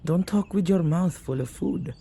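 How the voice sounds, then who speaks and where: A man playing a witch who keeps company with ghosts, excitable and caring, in a conversational ASMR audio roleplay with a husky, gravelly voice, speaking closely to the listener. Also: fairly high pitch, normal speed, a little hiss or hum. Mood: casual, teasing.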